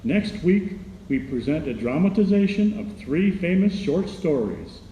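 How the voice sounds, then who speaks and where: A formal thirties network announcer, played by a man, mellow and slightly high with animated pitch. He sounds distant, in an echoey hall. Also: measured pace, muffled recording, a little hiss or hum.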